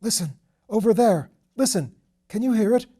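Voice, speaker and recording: A man's voice: high-pitched, expressive, moderate pace, dark tone, husky, pitched above the performer's own voice. A man voicing a shell-shocked young English lord in an audiobook, feverish, frightened and pleading. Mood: urgent. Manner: urgent, agitated, pleading. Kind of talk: audiobook character voice.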